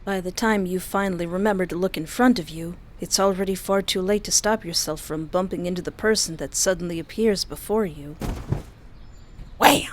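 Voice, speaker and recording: A woman reading a visual novel aloud as a streamer, with expressive, storyteller-like narration and a playful manner. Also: on the low side, moderate pace, slight background noise. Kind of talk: expressive scripted narration with casual asides.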